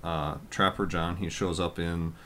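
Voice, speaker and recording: A man co-hosting a casual podcast, talking loudly and rambling with lots of fillers. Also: sits low, fairly even intonation, a little slow, a little hiss or hum. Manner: loud, rambling with many fillers. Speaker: podcast co-host.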